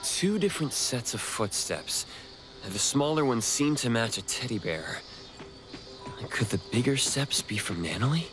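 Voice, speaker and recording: A man's voice: mid-range voice, big pitch swings, measured pace. A man playing an earnest, protective investigator in an anime-style video game. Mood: focused, serious. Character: earnest male investigator, protective, indignant at villains. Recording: music clearly behind the voice.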